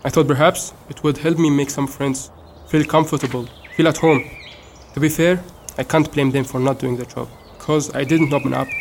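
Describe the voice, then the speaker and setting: A young man from Syria, speaking in an earnest, measured way as the one being asked questions. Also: mid-range voice, steady tone, creaky, slight background noise. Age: young adult. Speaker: interviewee.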